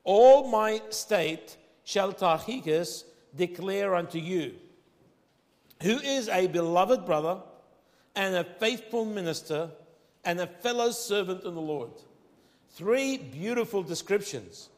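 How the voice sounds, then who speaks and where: Arabic-accented male preacher, emphatic, high-ish voice, reverberant hall. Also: lively intonation. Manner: emphatic, slows and rises on key phrases. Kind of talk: sermon.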